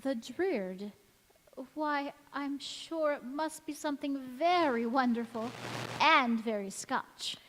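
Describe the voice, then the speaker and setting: A woman's voice: sits high, takes it slowly, a bit bright. A woman acting a sweet, sentimental young bride-to-be with earnest, theatrical delivery in an old-time radio drama. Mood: delighted. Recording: echoey church hall stage recording.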